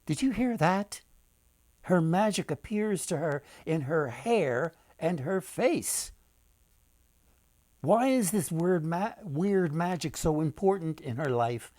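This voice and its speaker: An older man tells stories to children as their author. He speaks slowly and deliberately, with theatrical pauses, in a dry, close recording. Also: fairly high pitch, animated, a little mellow, dry recording. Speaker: author and storyteller. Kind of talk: storytelling read-aloud for children.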